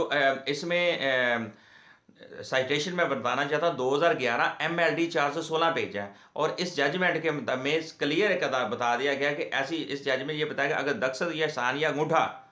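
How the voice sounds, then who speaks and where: A man with a Pakistani accent giving an informal legal lecture to viewers in a halting, repetitive way. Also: a little high, fairly bright tone. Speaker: legal explainer, host of a law channel. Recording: echoey, reverberant room sound.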